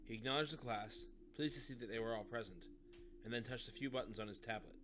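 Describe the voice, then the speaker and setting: A man's voice: mid-range voice, fast, background noise. A man reading scripted story narration for an audio drama.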